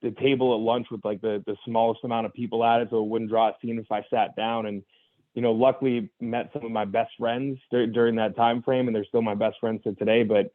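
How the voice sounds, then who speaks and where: Fast-talking young man on a tinny line. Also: medium pitch, flat delivery, no echo. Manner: earnest, reflective, full of restarts and "you know". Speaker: guest. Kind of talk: candid personal story in conversation.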